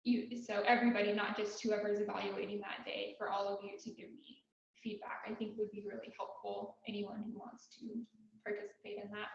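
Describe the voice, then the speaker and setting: Soft-spoken woman in an echoey room. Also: mid-range voice, little pitch movement, brisk, gravelly. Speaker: club president running a meeting. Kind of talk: casual meeting opening. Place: meeting room, in person. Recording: very echoey room, dull sound.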